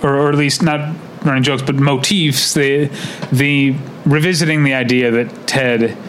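A man chats as a podcast co-host in a loose, unscripted style. His voice sits at a medium pitch and he often stops to correct himself. There is faint noise behind him. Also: fairly even intonation, unhurried, a bit bright. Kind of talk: casual unscripted podcast chat.

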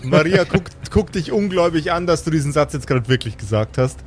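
A man's voice: fairly high pitch, animated, a little fast, a little hiss or hum. A man, narrating an improvised tabletop game as game master.

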